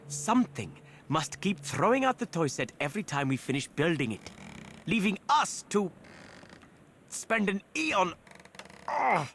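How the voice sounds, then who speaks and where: An actor playing a wry, erudite academic, lecturing and musing in video game dialogue. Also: male voice, sits high, a bit bright, a little hiss or hum, heavily acted. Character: wry, erudite male academic expounding a pet theory.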